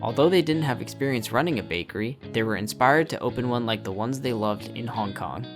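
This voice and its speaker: A narrator giving a lively, expressive scripted documentary read. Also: medium pitch, brisk. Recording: music clearly underneath.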